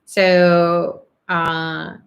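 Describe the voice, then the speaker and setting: A woman's voice: on the low side, flat delivery, drawn-out words, gravelly, lo-fi sound. A middle-aged woman hosting an online chanting and prayer call, chatting casually, reading out comments and laughing often. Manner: upbeat, warm-hearted, laughs often, many fillers. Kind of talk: casual spiritual group chat, reading comments.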